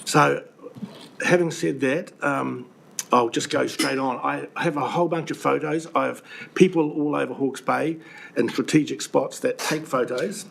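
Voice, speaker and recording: An older man with a New Zealand accent, croaky from the flu, presenting earnestly and indignantly to a council committee with many ums and restarts. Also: medium pitch, fairly even intonation, unhurried, a bit bright. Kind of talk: public submission to a committee. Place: council meeting room. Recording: webcast with faint room noise.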